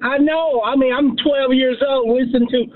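A man's voice: very high voice, fairly even intonation, normal speed. A man in his fifties calling in to a radio show, chatty and enthusiastic. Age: 50s. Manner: enthusiastic, chatty, tells stories. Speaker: caller. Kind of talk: phone-in chat. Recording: tinny phone line.

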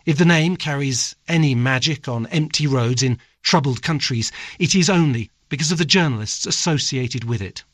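A male foreign correspondent narrates a scripted radio essay at a measured, storytelling pace. His pitch sits in the middle of the male range, and the recording is close and dry. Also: no echo.

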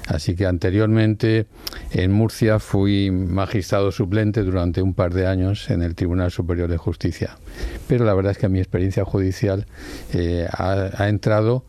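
Low, mellow-voiced older judge. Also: male voice, fairly even intonation, dry recording. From Spain. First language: Valencian. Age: 60s. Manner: measured, explanatory, pauses to rephrase. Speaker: Supreme Court judge and law professor. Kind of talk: reflective interview answers.

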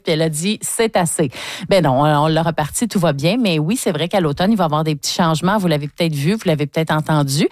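A Quebec woman hosts live morning radio in a conversational, unscripted way. Her voice sits fairly low, with a Quebec French accent. The sound is dry, with very little room around it. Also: no echo. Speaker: radio morning show host.

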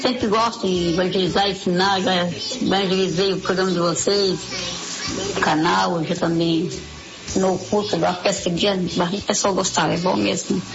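A woman's voice: on the low side, steady tone, normal speed, gravelly. A woman in her sixties leaving a recorded voice message for a church radio show, greeting the listeners unhurriedly. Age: 60s. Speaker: listener sending an audio message. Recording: lo-fi voice message with music behind.

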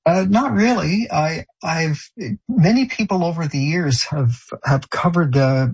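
A man born in Canada and raised in France, speaking in an interview with a gravelly, husky voice. He talks haltingly, full of fillers and restarts. The audio is dull and lo-fi. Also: fairly high pitch. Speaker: show creator and songwriter. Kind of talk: interview answers.

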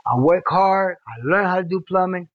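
A man in his fifties from Cuba, speaking casually and softly as an interviewee, with lots of fillers. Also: high voice, lively intonation, moderate pace, no echo. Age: 50s. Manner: casual, soft-spoken, filler-laden. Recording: dull lo-fi interview tape.

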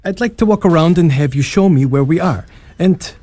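A man's voice: on the high side, expressive, brisk, darkish tone, no room sound. A man in his thirties playing an earnest, devoted young priest in an audio drama, with music underneath. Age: 30s.

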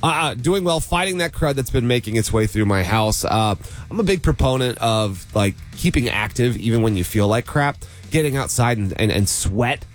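A man's voice: medium pitch, lively intonation, normal speed. Radio morning guy getting over a cold, a bit nasal, laid back and chatty, faint music under him. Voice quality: nasal, congested. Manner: easygoing, chatty, laughs often. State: recovering from a cold, slightly sore throat. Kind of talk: casual morning show chat. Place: radio studio.

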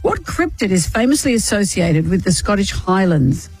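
A woman's voice: medium pitch, big pitch swings, normal speed, a little mellow, poor audio. A woman co-hosting drive-time radio, reading quiz questions with dry wisecracks. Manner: dry, wisecracking, game-show quizmaster. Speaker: radio co-host. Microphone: studio microphone.

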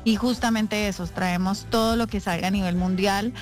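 A woman raised in Costa Rica, speaking as an interview guest in a measured way, with many fillers. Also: mid-range voice, steady tone. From Colombia, raised in Costa Rica. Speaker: guest, company CEO. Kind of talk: radio interview. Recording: music playing faintly underneath.

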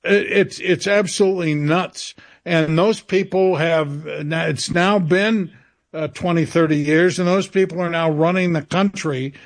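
A man answering as a radio interview guest, halting and often searching for his words. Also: a little high, fairly even intonation.

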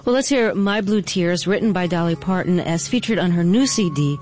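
A woman hosting a radio interview, asking measured questions in a calm voice. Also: on the low side, soft background music.

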